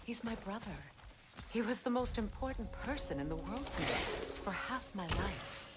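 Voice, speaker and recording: An actress playing a proud, wounded, mature warrior goddess in a video game, speaking in a measured, earnest and dignified way. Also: female voice, medium pitch, lively intonation, natural voice. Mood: wistful. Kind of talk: video game cinematic dialogue. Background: music.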